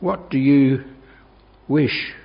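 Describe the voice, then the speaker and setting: A man reading scripture aloud in a measured, solemn way. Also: mid-range voice. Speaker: scripture reader. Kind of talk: Bible reading. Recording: dull lo-fi church recording.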